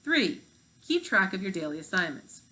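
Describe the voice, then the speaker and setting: A woman reading as a fifth-grade teacher in a storybook, measured and instructive. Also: medium pitch, big pitch swings, pushed and bright, low-quality recording.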